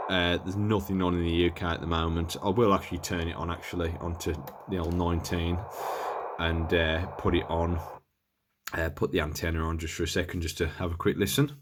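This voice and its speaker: Low-voiced man, casual and offhand. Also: background noise. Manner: hesitant, offhand, understated. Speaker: presenter of a radio gear review. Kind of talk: casual hands-on product demonstration.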